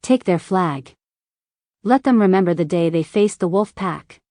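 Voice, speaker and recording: A woman's voice: on the low side, expressive, a little slow, warm tone, dry recording, studio quality. A woman playing a fierce female pirate captain and werewolf in an audio drama, commanding and menacing. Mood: triumphant, commanding.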